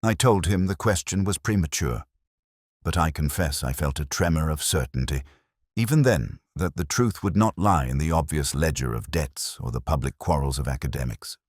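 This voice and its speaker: A man playing a brooding, erudite older detective, narrating a radio mystery in a measured, solemn, literary manner. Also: extremely low pitch, animated, no echo, clean studio sound. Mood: brooding, reflective. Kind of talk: scripted first-person mystery narration, audio drama.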